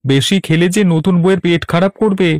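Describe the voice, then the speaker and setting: A man's voice: high voice, brisk, dry recording, clean studio sound, natural voice. A man voicing a sly young village swindler in a cartoon, cajoling and talking down in a mock-friendly way. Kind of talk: Bengali cartoon voice acting.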